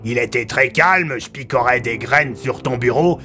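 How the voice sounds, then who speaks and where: A man's voice: mid-range voice, moderate pace, pushed and bright, faint music behind, close to an everyday voice. A man voicing a practical male bird sidekick with colloquial, offhand explanations. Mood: explaining.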